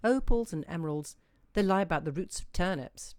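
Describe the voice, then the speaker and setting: A woman reading a short story aloud as an expressive, musing narrator with dramatic emphasis. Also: lowish voice, a little fast, no room sound, studio quality. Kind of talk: expressive literary audiobook reading.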